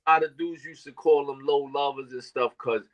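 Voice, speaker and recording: A man in his fifties from Brooklyn telling stories as a podcast guest, animated and full of street slang. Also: a little high, brisk, muffled recording, crowd noise. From Brooklyn, New York. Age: 50s. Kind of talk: casual storytelling.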